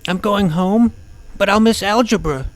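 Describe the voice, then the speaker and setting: An actor playing a nerdy, earnest junior high schoolboy in a comedic audio drama, talking in a precise, wordy way. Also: male voice, normal speed, natural voice, rough recording. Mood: alarmed. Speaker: narrator and lead character.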